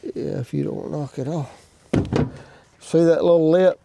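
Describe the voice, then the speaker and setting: A man's voice: on the high side, fairly even intonation, a little slow, creaky, very noisy. A man casually narrating outdoors as he works on his property, hosting his own vlog. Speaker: vlog host doing outdoor property work. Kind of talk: casual narration while working.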